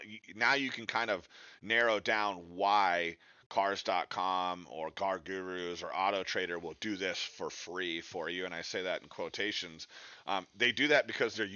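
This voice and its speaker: A man with a low voice and a forceful, bright edge teaches an informal webinar. He speaks directly and conversationally, often tacking on quick check-in questions. The sound is dry and slightly muffled. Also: no echo. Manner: conversational, direct, peppers points with tag questions. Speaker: webinar presenter, digital marketing instructor. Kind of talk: informal instructional webinar presentation.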